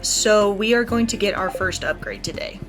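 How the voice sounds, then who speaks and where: A woman hosts a gaming video with casual, think-aloud commentary. Her voice sits at an ordinary middle pitch for a woman, and game music plays clearly behind her as she talks through each step. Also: normal speed. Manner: narrates own actions aloud. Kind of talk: casual let's play commentary.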